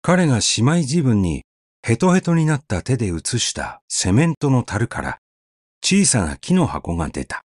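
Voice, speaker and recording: A man's voice: mid-range voice, big pitch swings, moderate pace, darkish tone, no echo, studio quality. A man narrating a short story as a scripted audiobook reading, telling it expressively. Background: faint background music.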